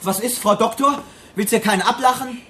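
An actor playing a brutal, domineering armed robber in a radio drama, crude and threatening, barking commands. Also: male voice, extremely high pitch, brisk, sharp tone, slight background noise. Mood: menacing, irritable.

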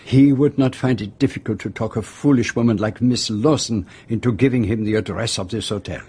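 Courteous Belgian sleuth, French accent, husky, formal, precise, self-assured, radio drama. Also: male voice, fairly low pitch, warm tone. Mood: firm, commanding. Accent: French-speaking Belgian. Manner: formal, measured, precise phrasing. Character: shrewd, courteous male detective, self-assured and commanding.

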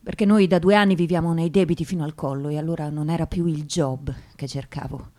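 An actress as a disdainful well-bred young woman, lowish voice. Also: steady tone, mellow, lightly acted. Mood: grave, candid. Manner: measured, precise, deliberate wording. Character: educated, disdainful young woman of impoverished aristocratic family. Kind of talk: theatrical radio drama monologue.

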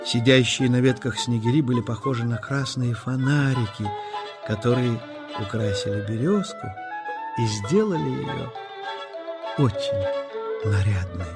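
A man narrating a scripted children's nature story as storyteller, with expressive, deliberate phrasing. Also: mid-range voice, loud music behind the voice.

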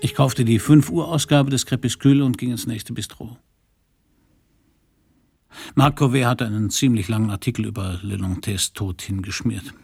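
A man's voice: mid-range voice, fairly even intonation, moderate pace, gravelly, darkish tone, breathy edge, no echo. An actor playing a world-weary private detective in a German crime radio drama, dry and laconic as he talks and narrates. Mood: dry narration. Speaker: narrator and lead.

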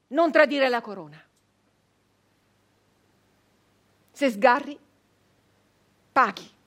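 A high-voiced, fierce peasant woman of the 1800s turned brigand, bitter and haunted. She delivers a theatrical monologue with emphatic, incantatory phrasing, as in a stage or radio drama. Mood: stern, declaiming. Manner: emphatic, incantatory repetition, swinging from hushed to loud. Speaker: actress performing a monologue.

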